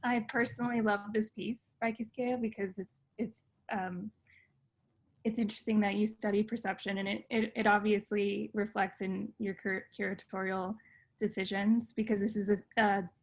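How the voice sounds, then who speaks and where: A woman's voice: medium pitch, flat delivery, moderate pace. A woman interviewing a guest, engaged and asking follow-up questions. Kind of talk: conversational interview.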